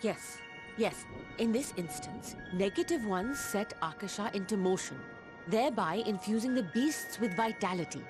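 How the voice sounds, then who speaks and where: A woman's voice: mid-range voice, expressive, moderate pace, close to an everyday voice. An actress voicing an earnest, caring woman scholar in a video game, speaking in formal, precise language. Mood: earnest, intent. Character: earnest, caring woman scholar theorizing about mysterious energy. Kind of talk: scripted video game voice acting. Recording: background music under the voice.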